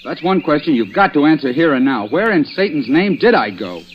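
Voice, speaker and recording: A man's voice: a little high, big pitch swings, brisk, a little hiss or hum. A man playing a flustered, bewildered young suspect in agitated old-time radio acting. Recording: muffled old radio recording.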